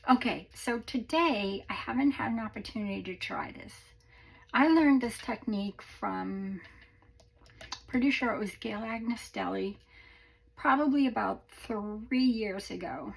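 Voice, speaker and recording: Croaky-voiced woman chatting while crafting. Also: a little high, measured pace. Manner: chatty, rambling, self-deprecating with small laughs. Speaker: crafting channel host. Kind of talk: casual craft tutorial chat.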